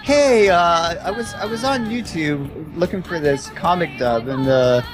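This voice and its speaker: A young Canadian woman hosting a casual podcast chat in a slangy, excitable, teasing way. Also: fairly low pitch, a little slow, crowd noise. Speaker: podcast host. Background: music behind the voice.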